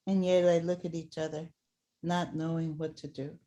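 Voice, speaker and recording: A woman's voice: deep voice, level tone, normal speed, warm tone. A woman reading stage directions as scripted narration for a staged play reading.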